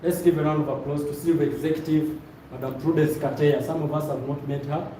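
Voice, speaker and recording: A man's voice: on the high side, fairly even intonation, brisk, mellow. Zambian male seminar trainer in echoey room. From Luapula, Zambia. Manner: directive, checks in with listeners, repeats phrases. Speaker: trainer leading a presentation course. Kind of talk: motivational seminar instruction to a live room. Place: indoor training room with stage. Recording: very echoey room, distant mic, noisy.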